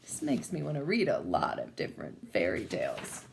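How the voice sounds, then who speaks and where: A woman's voice: a bass voice, creaky, a little hiss or hum. A woman reading a children's story aloud expressively, adding casual asides as she goes. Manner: expressive, pausing to comment on the pictures. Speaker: picture-book reader.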